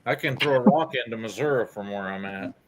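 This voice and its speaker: A man's voice: on the low side, brisk, over a noisy crowd, low-quality recording. A man from Oklahoma with an American accent, hosting a casual panel chat. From Oklahoma, United States.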